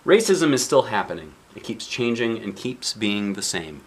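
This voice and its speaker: A man's voice: on the low side, sing-song, moderate pace, husky. A man reading a picture book aloud as a dad would, expressively, with casual asides to children and weighty emphasis on key lines.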